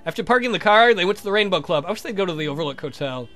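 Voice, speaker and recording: Man, let's play host, high voice, very animated, reading aloud, irreverent joking asides. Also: racing, soft background music. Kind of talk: reading a visual novel aloud with joking commentary.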